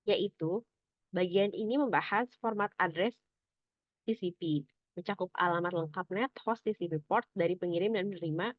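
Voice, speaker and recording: A young woman giving a scripted academic presentation as a student, in a measured, explanatory, somewhat halting manner. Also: lowish voice, no echo, lo-fi sound. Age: young adult.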